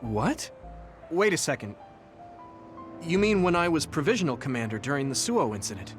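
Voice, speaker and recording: A man's voice: a little high, big pitch swings, moderate pace, soft background music. A man playing an earnest, hesitant young soldier in a video game cutscene, full of confused questions. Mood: bewildered. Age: young adult.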